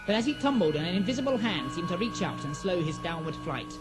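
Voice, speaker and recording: High-voiced man narrating dramatic fantasy. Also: sing-song, music in the background. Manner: theatrical, measured storytelling.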